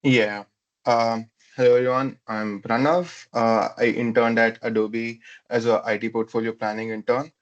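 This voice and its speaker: Flat-voiced man, brief and casual. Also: medium pitch, normal speed, muffled recording. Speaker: graduate student panelist. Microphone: video call.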